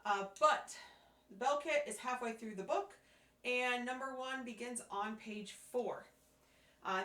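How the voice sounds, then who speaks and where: Woman, hoarse voice, fairly high, patient teacher, roomy echo. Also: fairly bright tone. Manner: patient, step-by-step explaining with fillers. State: hoarse, losing her voice. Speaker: music teacher. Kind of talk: instructional demonstration. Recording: echoey room.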